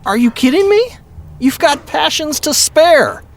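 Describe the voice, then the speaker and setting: A man's voice: extremely high pitch, sing-song, measured pace, exaggerated voice. An actor playing a talkative, upbeat middle-aged father who is a lawyer, in an audio drama. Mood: encouraging. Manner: chatty, upbeat, soothing.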